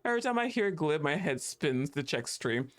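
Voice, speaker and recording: A woman's voice: sits low, very animated, moderate pace, soft background music. A woman streaming a game live, reacting casually with laughs and swearing. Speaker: streamer and voice actor. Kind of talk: live game stream commentary, reading game dialogue aloud.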